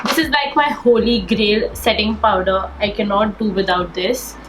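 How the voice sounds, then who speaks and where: A woman's voice: medium pitch, steady tone, moderate pace, a bit bright. A young woman with a slightly nasal voice from a cold, chatting enthusiastically through a makeup tutorial. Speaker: beauty vlogger. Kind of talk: casual get-ready-with-me makeup tutorial. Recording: echoey room with faint background noise.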